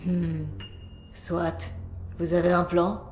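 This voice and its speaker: A woman's voice: fairly low pitch, monotone, slow, a little mellow, faint music behind. A woman playing a stern, sceptical research boss in an audio drama, speaking in a curt, formal, reproachful way. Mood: wary. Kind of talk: scripted audio drama acting. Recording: roomy sound, faint ambient noise.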